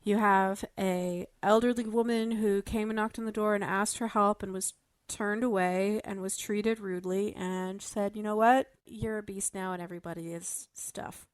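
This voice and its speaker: A woman's voice: mid-range voice, fairly even intonation, moderate pace, smooth, no room sound. A woman podcast co-host with a mid-range voice, recorded very dry. She explains things in casual discussion. Wry little asides color her delivery.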